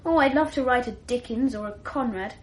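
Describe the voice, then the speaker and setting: A woman's voice: a little high, fairly quick, close to an everyday voice. A woman playing a clever, self-assured twelve-year-old English schoolboy who talks in a precocious, wordy, self-deprecating way, in a radio drama. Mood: reflective. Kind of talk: 1980s radio drama acting.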